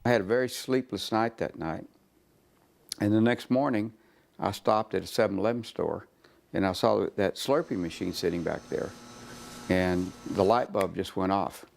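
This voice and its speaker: Gravelly older man telling his story. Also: fairly low pitch, normal speed, darkish tone. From Dallas, Texas. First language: Spanish. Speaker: interviewee, restaurant owner. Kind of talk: interview storytelling.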